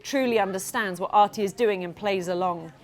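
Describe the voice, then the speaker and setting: A woman children's author reading her story aloud to young listeners in a playful, expressive style. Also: medium pitch, a little fast. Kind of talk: animated storytelling to a live audience of children.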